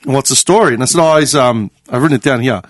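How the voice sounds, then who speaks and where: A man's voice: medium pitch, fast, no echo. A man in his late thirties hosting a podcast in casual conversation, blunt and teasing, swearing freely. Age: 30s. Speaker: podcast host. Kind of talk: casual chat. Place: podcast studio.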